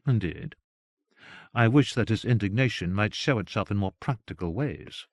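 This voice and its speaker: A man voicing a lonely, bitter wealthy lady who speaks coolly and measured. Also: medium pitch, expressive, dry recording, clean studio sound, natural voice. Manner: cool, clipped and measured.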